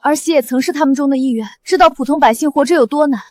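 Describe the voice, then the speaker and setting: Young righteous wife, played by a woman, high voice, close dry sound. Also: dry recording, lightly acted. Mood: kind. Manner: calm, measured, cutting.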